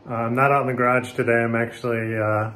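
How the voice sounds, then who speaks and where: A middle-aged American man talking casually and haltingly, unscripted, like a hobbyist hosting a vlog in a small room. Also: mid-range voice, level tone, a bit bright. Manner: rambling, informal, halting. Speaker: video host, hobbyist speaker restorer. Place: small bathroom indoors. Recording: echoey small room, background noise.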